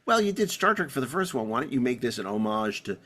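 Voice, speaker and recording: A man's voice: medium pitch, big pitch swings, fast, fairly bright tone. A man hosting a podcast in casual banter, rambling along with enthusiasm and wry humor. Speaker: podcast host.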